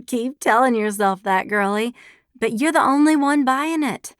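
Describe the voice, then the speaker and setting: A woman's voice: medium pitch, moderate pace, no room sound, studio quality, lightly acted. Woman voicing a bossy teasing girlfriend. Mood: gleeful. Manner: teasing, pushy.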